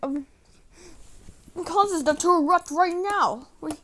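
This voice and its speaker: A woman's voice: very high voice, slow, fairly bright tone, heavily acted. A woman acting a flustered, anxious character in an amateur improvised drama, stumbling over words and correcting herself.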